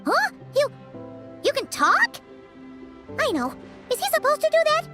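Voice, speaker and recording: Game companion: tiny, blunt, curious, squeaky and extremely high-pitched. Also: female voice, wildly swooping pitch, measured pace, heavily acted. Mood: puzzled. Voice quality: squeaky, childlike. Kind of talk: video game voice acting. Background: loud game music.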